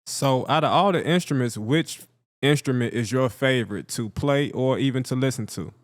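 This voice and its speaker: A man's voice: mid-range voice, moderate pace, dry recording, clean studio sound. A man hosting an interview, laid-back and encouraging, with lots of "you know". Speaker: interviewer host. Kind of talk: casual interview questions.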